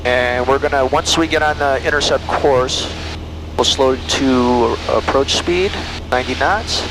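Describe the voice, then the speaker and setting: A man's voice: medium pitch, a little slow. A middle-aged American man training as a pilot, talking through procedures and making radio calls over an aviation headset. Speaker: student pilot. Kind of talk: cockpit talk and radio calls. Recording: aviation headset audio with engine noise.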